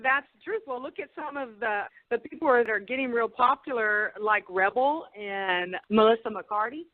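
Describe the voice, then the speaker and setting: A woman's voice: on the high side, normal speed, no room sound, phone-quality sound. A woman co-hosting a casual interview, chatting and asking questions in a conversational way. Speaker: co-host interviewer.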